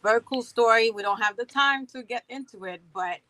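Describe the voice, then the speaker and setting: A woman's voice: on the high side, brisk, pushed and bright, no room sound, poor audio. A woman from Haiti speaking at a conference, chatty and sprinkled with "right?" and fillers. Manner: conversational, many fillers and "right?" tags. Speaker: conference speaker, company founder. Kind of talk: motivational conference talk.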